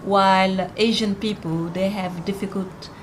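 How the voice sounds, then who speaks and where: A woman's voice: medium pitch, steady tone, unhurried. A woman on a language school's staff, speaking in a matter-of-fact way about students in a documentary interview. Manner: matter-of-fact, non-native grammar. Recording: noisy background.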